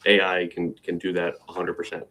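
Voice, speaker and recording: Man, podcast co-host, very low voice, casual upbeat chat, fillers and restarts. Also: completely flat delivery, fairly quick, bright, forceful tone, slight background noise.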